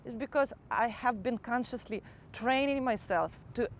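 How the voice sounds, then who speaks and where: A young woman from Ukraine with a Ukrainian accent, a motivational teacher calmly and earnestly reflecting in her own words. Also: on the high side, slight background noise. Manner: calm, earnest and measured, with small restarts. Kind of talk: personal first-person reflection.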